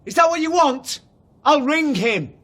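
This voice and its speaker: An actor playing a prickly, defensive and easily agitated son in a naturalistic radio drama, halting at times. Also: male voice, very high-pitched, animated.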